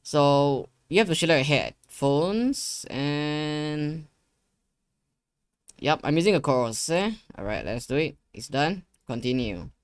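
A young man giving a casual software tutorial, talking himself through each step in an informal, rambling way. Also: a little high, expressive, slow pace, clean tone, no room sound, clean studio sound. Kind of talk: casual screen-share tutorial. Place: indoor living room gaming setup. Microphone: close desktop USB microphone.

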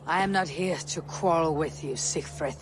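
A woman's voice: low-pitched, normal speed, background noise, lightly acted. A woman playing a stern, steadfast Viking warrior in a video game cutscene. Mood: calm, direct. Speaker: game character actor.

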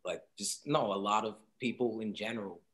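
A young man from Seattle, a guest artist, talking in a soft, reflective way with many fillers on a video call interview. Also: fairly low pitch, moderate pace. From Seattle, Washington. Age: young adult. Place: home room, indoors.

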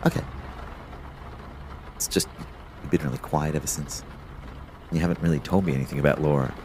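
An actor playing a reflective, concerned young man, telling his story in a measured, understated way in an audio drama. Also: rumbling low, fairly even intonation, a little mellow, slight background noise. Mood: concerned, hesitant. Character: reflective, concerned young boyfriend, a fitness fanatic. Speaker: narrator and lead character. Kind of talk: first-person audio drama narration and dialogue.

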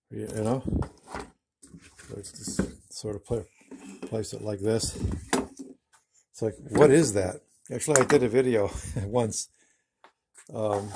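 A middle-aged man with a husky, slightly low voice talks casually through a woodworking demonstration. He rambles and corrects himself as he goes, speaking to a phone camera at arm's length in his home woodshop. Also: a little mellow. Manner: rambling, chatty, self-correcting. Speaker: woodworking channel host.

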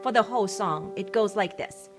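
A woman teaching a keyboard and singing lesson, giving slow, patient instructions and singing solfege syllables and song phrases. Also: medium pitch, loud music behind the voice. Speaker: music teacher. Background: keyboard playing.

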